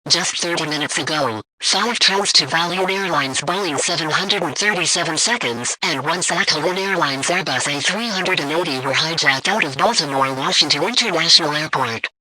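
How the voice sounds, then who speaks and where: Guy doing a formal emergency broadcast read, kinda high voice, really bright and crisp edged. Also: moderate pace, hardly any pauses, low-quality recording. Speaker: emergency alert announcer. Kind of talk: scripted emergency broadcast announcement.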